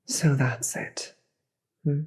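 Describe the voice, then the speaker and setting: A performer voicing a grave, weary demon queen in a dramatic audio roleplay, with a breathy, husky voice and slow, deliberate delivery. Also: a little mellow. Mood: stern, commanding. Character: weary, commanding demon queen, secretly soft-hearted.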